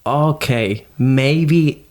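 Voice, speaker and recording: A man's voice: medium pitch, very slow. A young man with a Venezuelan Spanish accent, a native Spanish speaker, chatting casually and informally as a podcast host. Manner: informal, animated slangy talk with swearing. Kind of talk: casual chat.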